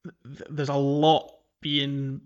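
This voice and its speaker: Guy on a gaming podcast, medium pitch, casual, keeps stopping and restarting his sentences. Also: completely flat delivery, extremely slow, lo-fi sound. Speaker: podcast co-host. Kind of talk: casual chat about a video game.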